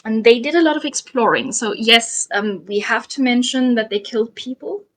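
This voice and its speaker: A woman's voice: a little high, moderate pace. Woman telling history, chatty with ums. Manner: frequent ums and soft laughs. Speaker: guest storyteller. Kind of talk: casual history storytelling.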